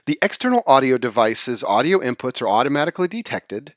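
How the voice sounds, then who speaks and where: A man presenting an unscripted software tutorial, explaining in a halting way and rephrasing as he goes. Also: mid-range voice, very animated, no room sound, clean studio sound. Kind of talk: informal screen-share tutorial, unscripted explanation.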